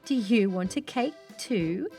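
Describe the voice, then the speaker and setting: A woman's voice: mid-range voice, animated, takes it slowly, warm tone. A woman voicing a kindly, cheerful elderly grandmother in a children's storybook, fond and amused, with faint music behind. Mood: amused teasing.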